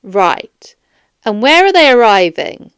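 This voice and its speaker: A woman's voice: a little high, sing-song, clean tone, no room sound, studio quality. A woman voicing a firm, formal police detective questioning a suspect in a children's audiobook. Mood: probing. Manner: formal, measured, stern. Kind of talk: children's audiobook character dialogue.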